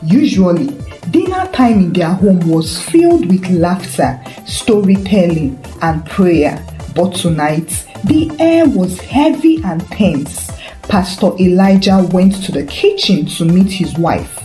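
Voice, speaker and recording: A woman's voice: on the low side, very animated, takes it slowly, warm tone. A woman narrating a dramatic scripted story as a storyteller. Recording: roomy echo with faint music. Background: faint background music.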